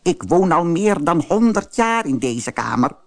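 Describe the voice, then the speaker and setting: A man's voice: fairly high pitch, sing-song, unhurried, voice pushed up. A man voicing a very old talking cricket in a storybook, solemnly scolding and warning.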